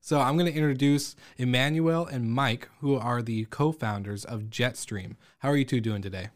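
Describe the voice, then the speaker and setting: An upbeat male podcast host with a medium-pitched voice. He talks in a chatty, friendly flow as he introduces his guests. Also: normal speed. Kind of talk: casual interview hosting.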